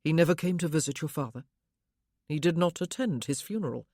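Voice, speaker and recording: A woman voicing a protective blind gentleman who questions in a steady, dry manner. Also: low-pitched, brisk, no echo. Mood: probing.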